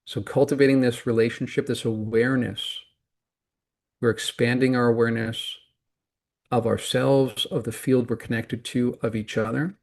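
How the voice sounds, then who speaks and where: Man calmly leading a guided meditation. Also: mid-range voice, gravelly. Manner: calm, deliberate, with pauses between short phrases. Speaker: meditation teacher.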